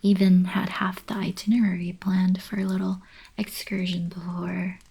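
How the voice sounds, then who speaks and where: A young woman playing a friendly, eager alien princess in an ASMR roleplay, soft and whispery, with casual girlish coaxing. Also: fairly low pitch, monotone, normal speed, gravelly, husky, heavily acted. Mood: soft, apologetic. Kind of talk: ASMR roleplay, intimate acted monologue.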